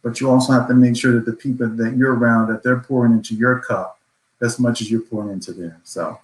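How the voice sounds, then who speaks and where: A man's voice: mid-range voice, steady tone, a little fast. A man speaking as a panelist in a reflective discussion, earnest, affirming and motivational. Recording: roomy echo.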